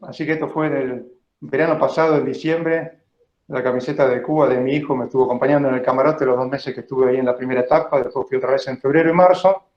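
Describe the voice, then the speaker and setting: An Argentine man in his fifties gives an informal lecture full of personal recollection. His voice sits at a middle pitch and he talks conversationally, often checking in with his listeners. The sound is that of an online meeting, roomy and somewhat muffled. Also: steady tone, fast talker. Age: 50s. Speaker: biologist giving a talk. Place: indoor room, online video call. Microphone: video call microphone.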